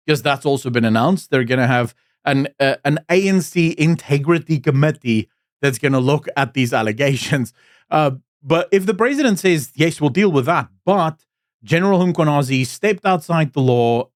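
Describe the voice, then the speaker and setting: Man, opinionated commentator, exasperated tone, higher-pitched voice, dry close sound. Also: no echo. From South Africa. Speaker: political commentator. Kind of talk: casual commentary to camera. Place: Cape Town.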